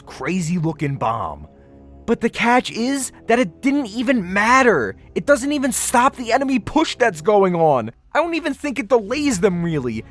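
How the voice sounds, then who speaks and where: A man giving a casual, opinionated video essay commentary in an informal, sarcastic manner, with some casual swearing. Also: high voice. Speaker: video reviewer and host. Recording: music behind the voice. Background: background music.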